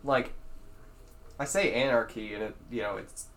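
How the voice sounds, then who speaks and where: A man's voice: medium pitch, normal speed, fairly bright tone. Laid-back young man podcasting. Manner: laid-back, questioning, casual profanity. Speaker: podcast co-host. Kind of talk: casual podcast chat.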